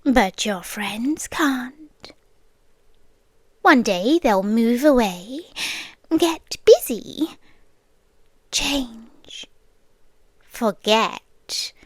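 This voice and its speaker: Slow, breathy British yandere girlfriend creature, acted by a woman. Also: on the high side, animated, no echo, heavily acted. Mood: possessive devotion. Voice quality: breathy, often dropping to a whisper. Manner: slow, deliberate, coaxing with lingering pauses. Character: possessive, obsessive, centuries-old female creature girlfriend, tender yet menacing. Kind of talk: intimate ASMR girlfriend roleplay. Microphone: close, dry ASMR microphone.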